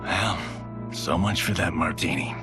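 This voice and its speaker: An actor playing a wisecracking, cocky movie star fighter in a video game, casual and flippant. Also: male voice, low-pitched, a little slow, over loud music.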